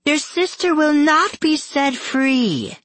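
Deadly, frail little villainess played by a man, audiobook. High-pitched, slow, drawn-out, falsely sweet. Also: animated, a bit bright, dry recording, full character voice. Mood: cold. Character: sweetly smiling, deadly frail-looking woman villain, a game character.

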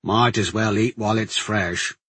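A man's voice: lowish voice, steady tone, normal speed, fairly bright tone, slightly rough, no room sound. A man voicing a loyal, wry guardsman who talks in clipped, folksy phrases in an audiobook story. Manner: clipped, folksy, dropped-subject speech. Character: gruff, plain-spoken male guardsman, loyal and wry.

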